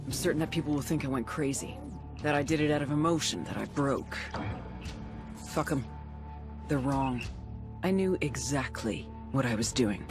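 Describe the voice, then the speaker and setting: A woman's voice: extremely low pitch, moderate pace. Tough, defiant female intelligence officer, blunt and intense, cinematic game drama, music underneath. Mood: bitter resolve. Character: hard-bitten female American intelligence officer, bitter and defiant. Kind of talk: cinematic video game acting.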